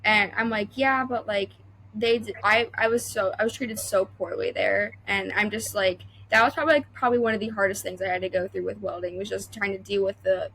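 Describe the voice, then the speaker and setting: A woman's voice: medium pitch, steady tone, brisk, vocal fry, fairly bright tone, a little hiss or hum, poor audio. A young adult woman welder talking as a podcast guest, chatty and full of fillers and restarts. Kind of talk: casual interview chat.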